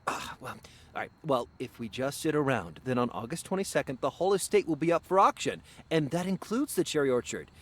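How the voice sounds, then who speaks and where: An actor playing a brisk, practical but awkward businessman in a visual novel, earnest and a little hesitant. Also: fairly high pitch, expressive, breathy edge. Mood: dismissive, then urgent.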